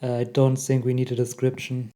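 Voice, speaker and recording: A young man with a German accent, hosting a casual software tutorial and chuckling at the results. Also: mid-range voice, completely flat delivery, a little fast. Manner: chatty, laughs often at results. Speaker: tech tutorial host. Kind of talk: casual screen-recorded tutorial.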